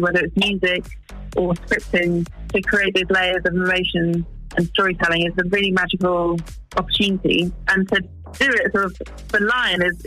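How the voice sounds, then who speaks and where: A bright, clear-toned woman in her forties talks earnestly as an interview guest, often restarting phrases as she searches for words. She grew up in East Africa. Faint music sits under her voice. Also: on the low side, poor audio. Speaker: wildlife filmmaker.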